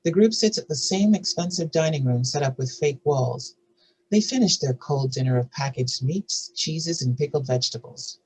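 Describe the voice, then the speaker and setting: A man's voice: fairly high pitch, lively intonation, normal speed, darkish tone, slightly rough, rough recording. A man giving scripted narration, reading out stage directions for a drama table read.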